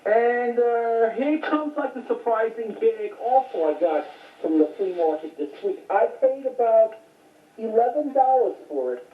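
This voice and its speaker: A woman's voice: a little high, lively intonation, unhurried. Tinny phone-like sound, roomy echo, woman, casual rambling collector's show-and-tell, matter-of-fact delivery. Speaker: home video collector showing recent finds. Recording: tinny phone-like audio, echoey, distant mic.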